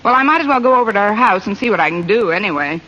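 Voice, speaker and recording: A woman's voice: medium pitch, expressive, racing, sharp tone. A woman playing a wisecracking, sardonic schoolteacher with dry delivery in an old radio comedy. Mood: wry, insistent. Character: wisecracking unmarried English schoolteacher, quick and sardonic. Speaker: lead actress in radio comedy. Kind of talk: 1940s radio comedy acting. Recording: muffled, faintly noisy vintage radio, audience.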